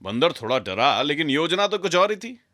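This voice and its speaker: A man's voice: on the high side, sing-song, normal speed, bright, forceful tone, no room sound, clean studio sound. A man narrating a scripted children's story in a dramatic, direct way as a storyteller.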